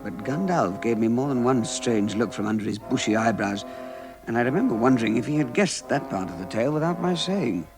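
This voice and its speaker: A man's voice: mid-range voice, lively intonation, a little fast, over music, lightly acted, low-quality recording. A man playing a small, fussy, timid hobbit with a flustered, exclamatory manner in a vintage radio drama. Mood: confiding.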